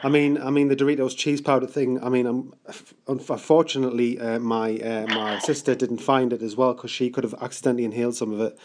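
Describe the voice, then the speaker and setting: A man's voice: medium pitch, steady tone, fairly quick, hardly any pauses, background noise. A British man making an improvised prank call, rambling and stammering with mock-earnest seriousness. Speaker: prank caller.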